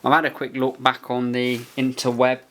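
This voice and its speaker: A man's voice: mid-range voice, completely flat delivery, normal speed, a bit bright. A man giving a casual product review, musing aloud as he inspects things piece by piece. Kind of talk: casual unboxing and review commentary.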